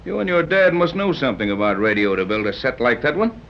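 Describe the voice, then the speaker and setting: A dry, skeptical sea captain. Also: male voice, medium pitch, sing-song, quick pace. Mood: wry. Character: skeptical wry male sea captain, adventurer. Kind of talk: 1930s radio acting. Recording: dull old radio recording.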